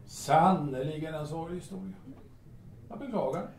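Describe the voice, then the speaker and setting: An actor playing a bossy yet courteous café owner in a period audio drama, humming and hawing between phrases. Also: male voice, on the high side, creaky, a little mellow. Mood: sympathetic, musing. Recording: echoey room.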